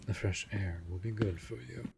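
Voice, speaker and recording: A man playing a protective, commanding vampire master in an audio roleplay, speaking in a formal, measured, authoritative way. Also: fairly low pitch, gravelly, heavy background noise. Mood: protective, reassuring. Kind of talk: scripted audio roleplay acting.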